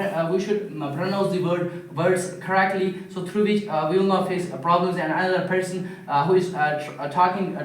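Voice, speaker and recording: A man from India, speaking English with an Indian accent, halting with fillers between phrases in a practice conversation. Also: a little high. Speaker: English student. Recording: echoey room, far from mic.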